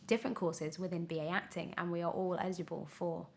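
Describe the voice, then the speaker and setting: A young woman chatting casually as an interview guest, telling her story in an animated, expressive way. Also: lowish voice, fast talker, gravelly. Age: young adult. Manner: animated storytelling, acts out her own past thoughts. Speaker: podcast guest, radio drama actor.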